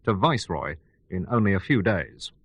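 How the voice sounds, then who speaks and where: A man playing a radio news anchor, presenting a scripted bulletin in a formal, measured broadcast manner. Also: low-pitched, big pitch swings, very noisy.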